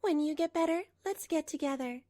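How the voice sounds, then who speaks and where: A woman as a young hostess mistress, very high pitch, cooing, sly, manga drama. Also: brisk, dark tone, no room sound, clean studio sound, natural voice. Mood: coy.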